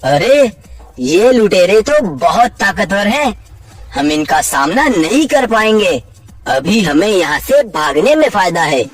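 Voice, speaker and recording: A man voicing an earnest talking jungle animal in a children's cartoon, with declamatory, deliberate delivery. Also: high voice, very animated, a crowd in the background, heavily acted. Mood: fearful. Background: background music.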